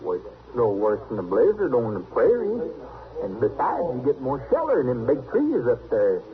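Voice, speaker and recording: An actor playing a young, plain-spoken backwoods loner with a rural American drawl in an old-time western radio drama. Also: male voice, medium pitch, wildly swooping pitch, normal speed. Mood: eager storytelling. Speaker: trapper. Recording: muffled vintage radio recording.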